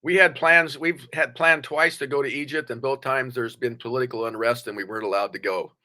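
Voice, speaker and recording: Blunt older man, bright forceful voice. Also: medium pitch, fairly even intonation, brisk. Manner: blunt, plain-spoken, motivational. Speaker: podcast guest. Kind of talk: conversational interview.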